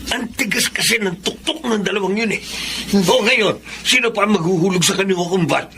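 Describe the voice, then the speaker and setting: A man's voice: high voice, a little fast, noisy background. An actor playing a grumbling, freeloading father who frets about money in a Filipino melodrama. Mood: indignant, worried.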